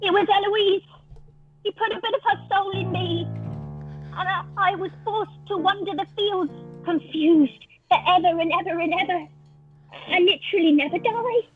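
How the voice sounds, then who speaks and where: A woman playing an earnest, confused eternal sheep in improvised comedy, talking haltingly over a video call. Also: extremely high pitch, faint music behind. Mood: urgent. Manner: halting, stammers. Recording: tinny video call audio.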